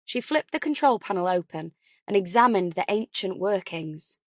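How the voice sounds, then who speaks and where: A woman narrates fiction for an audiobook. Her voice sits at a middling pitch and follows a written story, recorded very dry with no room around it. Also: expressive, moderate pace, dry recording.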